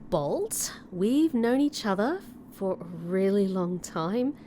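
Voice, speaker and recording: A woman's voice: mid-range voice, expressive, slow, mellow, a little hiss or hum. A woman playing a wry, defiant, protective heroine in a fantasy comedy audio drama, plain-spoken and sardonic.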